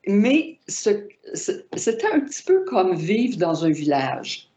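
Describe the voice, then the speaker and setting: A woman from Montreal, a writer recalling her life in a thoughtful interview, pausing to search for words. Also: mid-range voice, very animated, warm tone. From Montreal, Quebec. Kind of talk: reflective personal storytelling. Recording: roomy, dull lo-fi recording.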